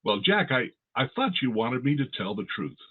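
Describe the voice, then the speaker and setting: An actor playing a genial, blunt announcer sidekick in an old-time radio comedy style. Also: male voice, medium pitch, very animated, moderate pace, breathy edge. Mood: sheepish. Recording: slightly dull radio-style audio.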